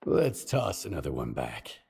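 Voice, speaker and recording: A stoic young game drifter, husky, slow, kinda echoey hall sound. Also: male voice, a bass voice, sing-song, darkish tone, exaggerated voice. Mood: stoic, guarded. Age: young adult. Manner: terse, stoic. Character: terse, sober, determined young male mercenary wanderer. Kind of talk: video game cutscene acting.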